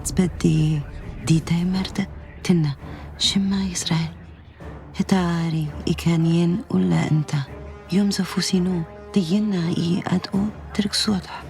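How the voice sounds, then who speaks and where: A woman's voice: lowish voice, fairly even intonation, racing, creaky, mellow. An actress playing a grave North African local woman narrating wartime hardship in a game cutscene. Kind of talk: dramatic scripted narration. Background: music.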